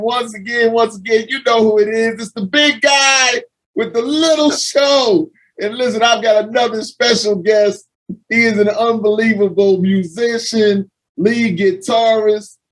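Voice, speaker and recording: A man's voice: sits high, poor audio. A man hosting an interview show, hyping his guest with repeated catchphrases and easy laughter. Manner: energetic, repetitive hype phrases, laughs often. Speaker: podcast host.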